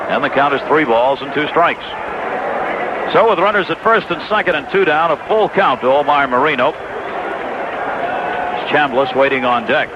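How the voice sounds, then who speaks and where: A man's voice: fairly high pitch, expressive, normal speed, long pauses. An American man calling baseball play-by-play on the radio. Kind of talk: live radio play-by-play commentary. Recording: dull, very noisy 1980s AM radio broadcast. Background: loud outdoor stadium ambience.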